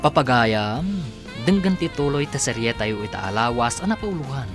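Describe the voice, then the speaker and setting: A man's voice: medium pitch, sing-song, moderate pace, music in the background. A man with a Filipino accent giving dramatic scripted narration as a radio drama announcer.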